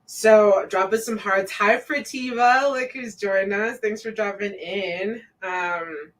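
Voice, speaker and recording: A woman co-hosting a casual live stream, relaxed and loose with plenty of fillers, reading out viewer comments. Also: slow pace, recorded from a distance. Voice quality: says her own voice sounds deep to herself.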